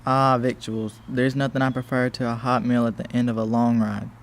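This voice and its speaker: A teenage boy in an amateur school radio play, voicing a flustered, chatty gentleman husband with a creaky voice and a stammer. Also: mid-range voice, flat delivery, moderate pace, close to an everyday voice. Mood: jovial, apologetic. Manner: stammering, talkative, eager to please. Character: flustered, chatty, joke-telling English gentleman husband and master.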